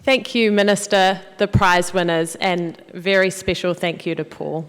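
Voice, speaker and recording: A woman with a slightly low voice hosts a formal ceremony. Her delivery is measured and formal, and it rings with the long reverb of a large hall. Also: fairly even intonation, clean tone. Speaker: master of ceremonies. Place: large indoor hall, public address.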